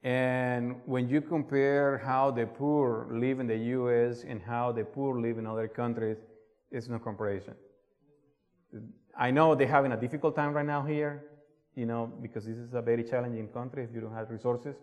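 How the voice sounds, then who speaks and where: A man's voice: mid-range voice, steady tone, normal speed, a little mellow. A man from Colombia with a Colombian Spanish accent, preaching a sermon and reading scripture, earnestly asking his listeners questions. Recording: long hall reverb.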